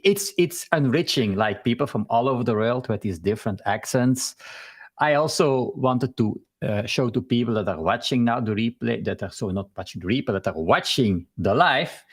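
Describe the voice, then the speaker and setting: A man with a Belgian accent hosting a live-stream interview, halting with many fillers and laughing often. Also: mid-range voice. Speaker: host.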